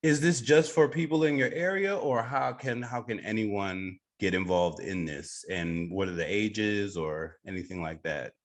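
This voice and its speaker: A man's voice: on the low side, measured pace, hardly any pauses. A man hosting a podcast, interviewing a guest in a casual conversational way. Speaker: podcast host.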